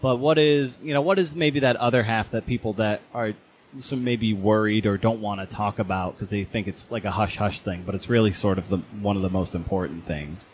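A man's voice: lowish voice, a little fast, a little hiss or hum. A man hosting a casual interview podcast, asking long, roundabout questions in a conversational way.

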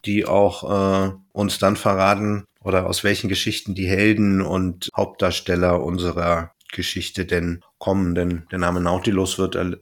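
Male podcast host, lower register, casual review talk with wry asides. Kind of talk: casual audio drama review chat.

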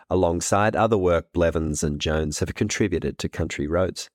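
A man's voice: low-pitched, dry recording, studio quality. A man hosting a podcast, reading a scripted outro announcement. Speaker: podcast host.

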